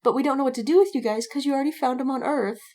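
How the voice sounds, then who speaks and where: A woman with a fairly high voice chats casually as a podcast co-host. She rambles and circles back, repeating words to stress them. The feel is informal fandom conversation. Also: rapid-fire, mellow.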